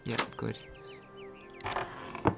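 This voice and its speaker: A man doing casual let's play commentary, thinking aloud, repeating phrases and asking himself questions. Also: extremely slow. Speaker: gaming commentator. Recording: game music behind the voice. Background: video game music.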